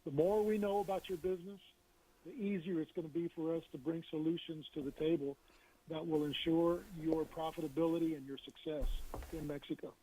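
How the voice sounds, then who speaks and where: Husky-voiced man pitching his services. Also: fairly even intonation, a little fast, warm tone, poor audio. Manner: confident, salesy, hesitant fillers. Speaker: business consultant, guest. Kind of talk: interview answers.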